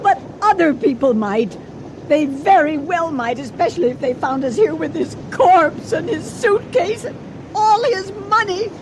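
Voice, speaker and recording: High-voiced anxious wife, old radio thriller. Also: female voice, lively intonation, unhurried. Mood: doubtful, pleading. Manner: hesitant, dramatic, fretful. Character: anxious, doubting wife plotting to hide a corpse. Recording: noisy old radio drama recording.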